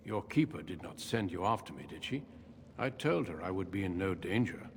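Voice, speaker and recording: A man voicing a calm, grave veteran human warrior in a video game, speaking in a measured, formal, courteous way. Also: low voice. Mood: wry, calm. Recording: echoey cave reverb, noisy ambience behind.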